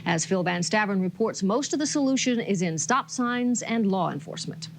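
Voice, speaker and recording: A woman's voice: mid-range voice, lively intonation, fairly quick. A woman anchoring a local TV newscast on a noisy 1980s broadcast tape. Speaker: TV news anchor.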